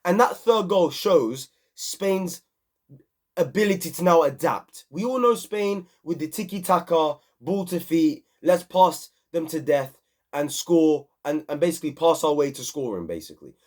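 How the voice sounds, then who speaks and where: A young man hosting an excited, slangy football match review, a bit hoarse from screaming. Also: on the high side, a little slow. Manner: animated, repetitive, slangy. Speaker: football channel host.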